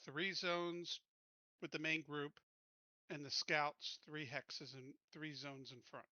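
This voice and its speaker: A man plans tactics as a player in a tabletop game, casually but insistently repeating his points. His voice is very sharp and a little high, recorded dry on a slightly dull voice-chat line. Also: little pitch movement, slow, dry recording. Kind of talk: casual planning talk in a game.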